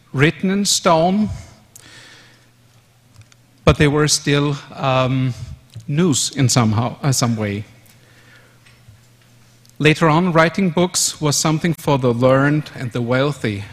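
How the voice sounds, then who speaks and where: A man in his fifties from Austria whose first language is German, giving a measured conference presentation in English with fillers and self-corrections. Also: medium pitch. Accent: German-speaking Austrian. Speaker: conference speaker. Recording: long hall reverb.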